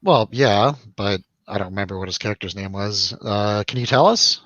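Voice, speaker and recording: A man's voice: fairly low pitch, moderate pace, fairly bright tone, dry recording, dull audio. A man hosting a casual film review chat, rambling and jokey, putting questions to his co-host. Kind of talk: casual chatty film discussion over drinks.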